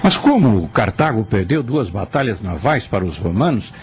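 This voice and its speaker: A man's voice: lowish voice, big pitch swings, moderate pace, slight background noise. A man playing a curious seeker of ancient history in a scripted radio drama. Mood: inquisitive. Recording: faint music under the voice.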